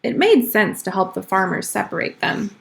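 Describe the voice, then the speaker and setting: A woman's voice: medium pitch, big pitch swings, normal speed, gravelly. A woman voicing a schoolboy from a storybook, earnestly telling tall-tale excuses. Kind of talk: storybook read-aloud.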